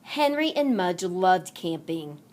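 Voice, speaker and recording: A woman narrating a children's read-along story aloud in an expressive, deliberate manner. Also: on the low side. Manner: deliberate, animated delivery for children.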